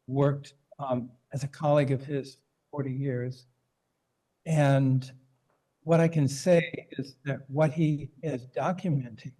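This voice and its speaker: Older man, conference commenter: mellow tone, earnest and unhurried, lots of ums. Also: mid-range voice, low-quality recording. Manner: measured and earnest, with frequent ums. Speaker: conference participant.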